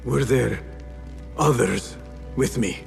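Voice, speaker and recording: An actor playing a driven, vengeful warrior in a video game, intense, resolute and terse. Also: male voice, mid-range voice, slow, creaky. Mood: urgent concern. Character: driven, vengeful male warrior in his prime, bitter and defiant. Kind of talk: cinematic video game acting. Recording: music behind the voice. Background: cinematic score.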